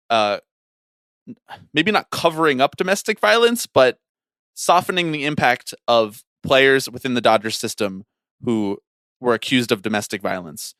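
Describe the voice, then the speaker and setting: A man's voice: mid-range voice, fairly bright tone, no echo. A man co-hosting a casual sports podcast, telling stories in a wry, opinionated way. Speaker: podcast co-host.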